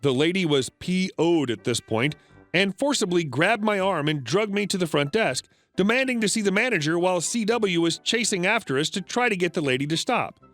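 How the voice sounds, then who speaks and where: A man with a high-pitched voice reads reddit stories aloud in a scripted narration. His delivery is flat and even, broken by sudden jumps in pitch. Faint music plays quietly behind him. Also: fairly quick.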